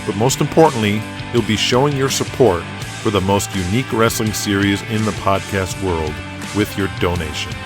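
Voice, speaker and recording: A man's voice: lowish voice, moderate pace, hardly any pauses, over music. A man narrating an audio drama in a dramatic, scripted storytelling style.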